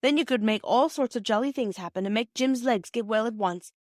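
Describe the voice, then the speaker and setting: A woman's voice: a little high, fairly quick, a little mellow, no room sound, clean studio sound, pitched above the performer's own voice, close to an everyday voice. A woman voicing an eager, earnest British schoolboy with old-fashioned slang, pleading with his mother in a storybook. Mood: wistful, earnest. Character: eager, earnest English schoolboy, her son.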